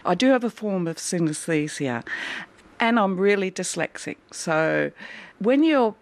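Gravelly, low-voiced woman artist. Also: expressive, a little slow. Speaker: artist, interview guest. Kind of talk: reflective interview answers. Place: art studio.

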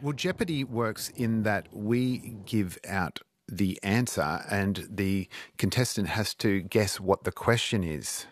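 A man playing a mild-mannered vampire count with an Australian accent, hesitant and deadpan. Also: on the low side, slow, dry recording, clean studio sound, voice pushed down.

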